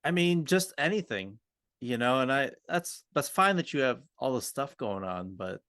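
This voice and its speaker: A man's voice: medium pitch, sing-song, a bit bright, no echo, studio quality. Man playing a frustrated, insistent male employee. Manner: complaining, halting, insistent. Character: frustrated male employee demanding support from his boss. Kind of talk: workplace roleplay dialogue.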